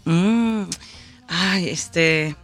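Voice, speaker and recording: A woman's voice: fairly low pitch, extremely slow, faint music behind. Woman guest switching into English. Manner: hesitant, many restarts and fillers, mixes in English. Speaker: festival organizer and musician. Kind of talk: casual interview guest.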